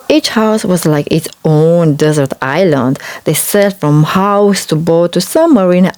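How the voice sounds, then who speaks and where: A woman reading a children's storybook aloud in an expressive, measured way. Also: deep voice. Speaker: storybook reader. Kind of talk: scripted children's storybook narration.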